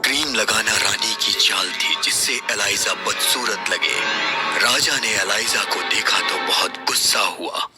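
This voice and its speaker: A man's voice: lowish voice, measured pace, over loud music. A man reading a scripted children's fairy tale as the storyteller narrator.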